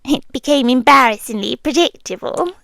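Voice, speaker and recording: A woman with a British accent playing a possessive, devoted tailed creature girlfriend in a soft, breathy, often whispered ASMR roleplay. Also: high-pitched, lively intonation, normal speed, no room sound, full character voice. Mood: wistful, confiding. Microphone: close, dry ASMR microphone.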